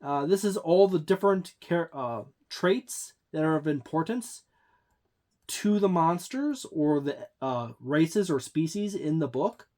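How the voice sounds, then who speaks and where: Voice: medium pitch, animated, a little slow, a little mellow. A tabletop game reviewer giving a book overview, hesitant, with frequent fillers and restarts.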